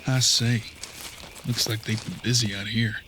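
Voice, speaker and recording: A man's voice: on the low side, fairly even intonation, a little slow, noisy background, lightly acted. A man playing an earnest, thoughtful bounty hunter's apprentice in a western audio drama, with folksy frontier talk. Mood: puzzled, uneasy.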